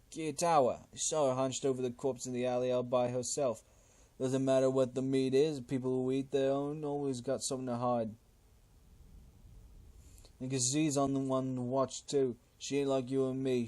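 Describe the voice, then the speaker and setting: A man voicing a bitter, leering cannibal thug in a game, talking in a gruff, slangy, loud way. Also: medium pitch, fairly even intonation, moderate pace, close to an everyday voice. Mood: bitter, resentful.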